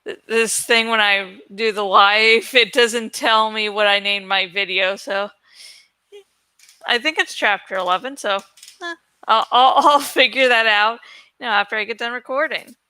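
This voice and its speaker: A woman with a very high, bright voice hosts a reading of a novel. She takes her time, going between dramatic narration and casual chat, and the recording is dry and close. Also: a little slow, smooth, no echo. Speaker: host reading a novel aloud. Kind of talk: dramatic audiobook-style reading with casual asides.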